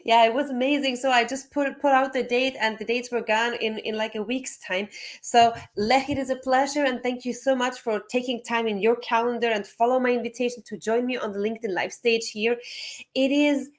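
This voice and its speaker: A woman's voice: a little high, fairly even intonation, normal speed. A woman hosting a live online interview, introducing a topic and guest and asking questions.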